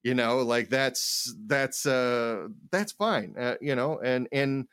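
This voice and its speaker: A man co-hosting a casual chat about game mastering, talking in a halting, rambling way and often repeating words. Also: medium pitch, a bit bright, clear, resonant tone, dry recording, clean studio sound. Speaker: podcast co-host.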